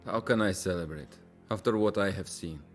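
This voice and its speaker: An actor playing a haunted, war-weary Soviet officer who talks in a troubled, bitter way. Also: male voice, low voice, normal speed, soft background music, natural voice.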